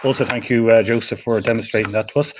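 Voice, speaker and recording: A man's voice: on the low side, fairly even intonation, quick pace. A man moderating a webinar session, politely thanking speakers and handing over with frequent fillers. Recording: dull lo-fi audio with clear noise.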